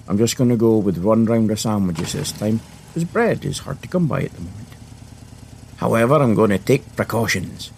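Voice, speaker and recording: Male storyteller, lowish gravelly voice, lively swoops, playful comic asides for a young audience. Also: a little hiss or hum. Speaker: podcast host and storyteller. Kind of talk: animated first-person storytelling for children.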